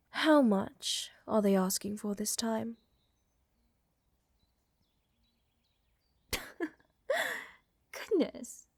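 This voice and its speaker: A woman plays an aristocratic vampire countess for an intimate ASMR roleplay. She speaks very slowly in a fairly high yet mellow voice, softly breathy and often near a whisper. Her manner is elegant, doting and teasing, like a protective lover soothing her beloved. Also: no room sound. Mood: wry, weary. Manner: teasing, soothing, elegant formal phrasing with sighs.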